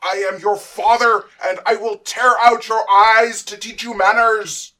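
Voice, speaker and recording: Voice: mid-range voice, fairly even intonation, a little slow, bright, forceful tone, poor audio. Imprisoned father god for audio drama: furious, tyrannical, howling, melodramatic.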